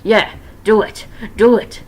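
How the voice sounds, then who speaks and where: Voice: mid-range voice, fairly even intonation, slow, a little mellow, pitched above the performer's own voice, lightly acted. A performer voicing a touchy, menacing male animatronic bear in a fan fiction reading. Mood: wicked glee. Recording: noisy amateur home recording.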